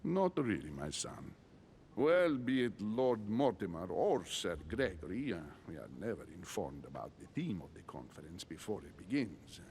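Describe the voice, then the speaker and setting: An elderly, grave churchman in a game drama. The voice is very low and creaky, with expressive rises and falls. He speaks formally and deliberately, courteous and fatherly. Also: slight background noise. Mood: measured, assured. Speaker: video game character.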